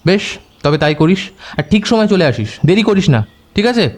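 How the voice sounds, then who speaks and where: A man acting a young detective in an audio drama, self-assured and instructing, with a brisk, commanding way. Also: high-pitched, big pitch swings. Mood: brisk, directive.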